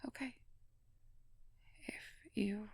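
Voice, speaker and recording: A woman playing a sweet, anxious young mage girlfriend in an ASMR role-play, speaking in a breathy, often whispered voice with earnest, hesitant, careful phrasing. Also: drawn-out words, darkish tone, no room sound. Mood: hesitant, apologetic. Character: sweet, anxious, conscientious young air mage girlfriend confiding her worries. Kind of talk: ASMR role-play, intimate personal address.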